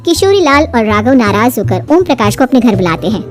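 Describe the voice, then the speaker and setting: A woman's voice: a little high, big pitch swings, a little fast. A woman narrating a scripted folk story as the storyteller. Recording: music under the narration.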